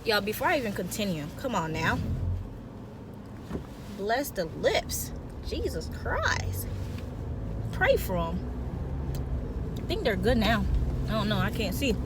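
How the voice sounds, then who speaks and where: A young woman vlogging a casual, chatty storytime from a car, animated and rambling, often interrupting herself. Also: mid-range voice, a little slow, pauses often. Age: young adult. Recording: noisy car interior with traffic hum.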